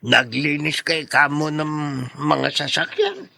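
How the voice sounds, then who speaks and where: A fatherly older man with a very gravelly, forceful voice. He speaks slowly and wisely in a Filipino accent, as in a radio drama. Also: a little high, wildly swooping pitch, exaggerated voice. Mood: kindly, encouraging. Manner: measured, fatherly. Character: kindly, wise older man encouraging a boy.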